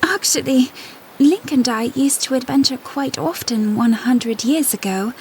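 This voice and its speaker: A woman playing a gentle, weary young princess in a fantasy audio drama, speaking softly and with measured politeness. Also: high voice, a little hiss or hum. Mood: wistful. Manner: soft-spoken, measured. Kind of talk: fan audiodrama acting.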